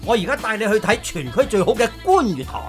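A man's voice: high-pitched, very animated, fast talker, faint music behind. An actor playing a fawning, flustered male estate agent in a radio drama, hesitant and apologetic, with a Hong Kong accent. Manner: hesitant, stammering, apologetic, ingratiating. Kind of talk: Cantonese radio drama acting.

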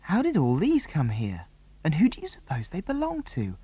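A man's voice: on the high side, huge pitch swings, moderate pace, mellow, slightly rough, pitched above the performer's own voice, full character voice. A man with a British accent voicing a puzzled, polite young girl in a children's adventure storybook. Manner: puzzled, questioning.

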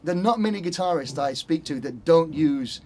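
A man's voice: fairly high pitch, expressive, normal speed, darkish tone, slight background noise. A middle-aged man from Spain, a guitarist talking casually as an interview guest and often searching for words. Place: recording studio control room, seated.

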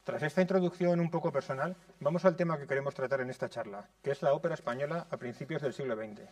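A man in his thirties from Spain, reading a prepared lecture in a measured way in a conservatory lecture room. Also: mid-range voice, darkish tone, no echo, distant mic. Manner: measured, reads from notes.

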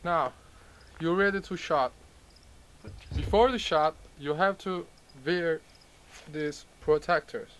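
A young man from Turkey with a Turkish accent, speaking halting English outdoors and laughing his way through retakes of a gun-safety demo. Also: on the high side, a bit bright, slight background noise. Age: young adult. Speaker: first-time shooter presenting a gun. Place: outdoors in a gravel field by a truck. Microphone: camera mic from a few meters.

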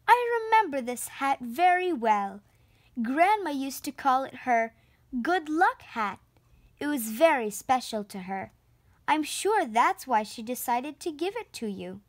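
Woman playing a gentle, high-voiced mother elephant. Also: sing-song, measured pace, no room sound. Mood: nostalgic. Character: gentle, reassuring mother elephant who bakes.

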